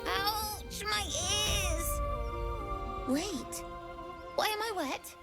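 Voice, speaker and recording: A woman voicing a small, excitable tiefling child in a video game, blurting short flustered phrases. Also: very high voice, very slow, soft background music, full character voice. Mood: confused, flustered. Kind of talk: video game character acting.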